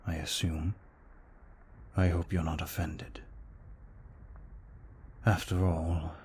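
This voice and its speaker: A man playing a courteous, protective young vampire aristocrat in an ASMR audio roleplay, speaking formally in a hushed, measured way. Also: sits low, slight background noise. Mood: apologetic, courteous. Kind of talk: intimate ASMR roleplay acting.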